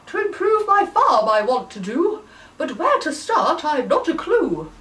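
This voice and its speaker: A woman voicing an eager, flustered male farmer who yelps and pleads loudly. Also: high-pitched, big pitch swings, measured pace, far from the mic, pitched above the performer's own voice, exaggerated voice. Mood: pleading. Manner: yelping, shouty, breathless pleas.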